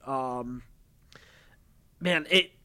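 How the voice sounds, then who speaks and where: A man co-hosting a podcast in casual chat, speaking in a halting, digressive way with chuckles. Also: medium pitch, pushed and bright, clean tone. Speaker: podcast co-host. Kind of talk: casual chat about TV and voice acting.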